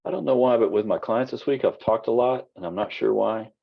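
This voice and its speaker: A man teaching an online class in a loose, rambling way with lots of fillers, his voice husky and giving out. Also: on the low side, fairly quick, muffled recording. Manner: casual, rambling, many fillers and restarts. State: losing his voice. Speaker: college instructor.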